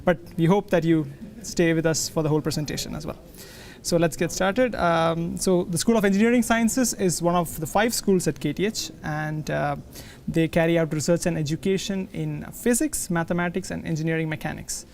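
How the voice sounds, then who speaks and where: A man's voice: on the high side, vocal fry, a little mellow, slight background noise. Man hosting a university webinar. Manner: friendly, measured, with fillers. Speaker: host. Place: large library hall indoors.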